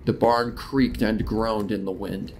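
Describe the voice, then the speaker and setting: A man's voice: on the low side, steady tone, unhurried, crowd noise, poor audio. A man reading a horror story in a dramatic, descriptive narration style. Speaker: fan fiction narrator. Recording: noticeable noise under the narration.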